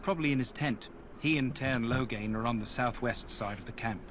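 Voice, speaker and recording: A man playing a friendly, gossipy soldier in a fantasy video game, with a folksy, chatty delivery. His voice sits at a middle pitch. Clear background noise runs under his lines in the game audio. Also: fairly quick, a bit bright, close to an everyday voice. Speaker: soldier in a war camp.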